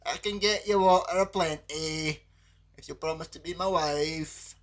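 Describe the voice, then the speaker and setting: A woman voicing a small male frog who coaxes a girl with sweet, high, sing-song words. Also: measured pace. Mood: coaxing. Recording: faint guitar music behind.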